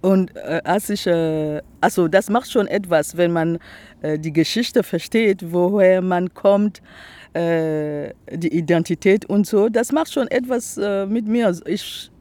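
A woman from the Republic of the Congo with a Congolese accent, whose first language is Teke. She speaks German as an interviewee, searching for words and filling pauses often. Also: on the low side, dark tone. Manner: halting non-native German, searching for words with many fillers. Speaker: artist, interviewee. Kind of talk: casual interview chat. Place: outdoors in the grass by a lake.

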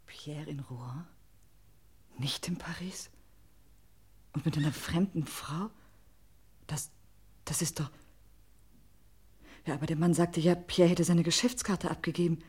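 Radio crime play: betrayed wife in denial, lowish breathy voice, theatrical, broken phrasing. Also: female voice, fairly even intonation, measured pace, long pauses, a little mellow, heavily acted. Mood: stunned, hurt. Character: distraught, suspicious wife clinging to denial. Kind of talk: mid-century German radio crime drama acting.

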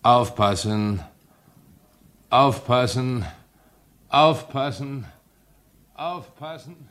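An actor playing a grave, shaken train driver in a solemn 1950s radio drama style. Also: male voice, mid-range voice, lively intonation, fairly bright tone. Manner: solemn, deliberate.

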